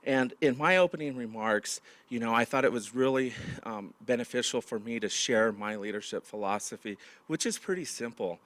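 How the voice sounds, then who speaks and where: Guy losing his voice, talking to a big room, lots of ums and restarts, middle pitch. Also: a bit bright. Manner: halting, many fillers and restarts. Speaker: county manager hosting a staff meeting. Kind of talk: opening remarks to a large audience. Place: large meeting room with audience.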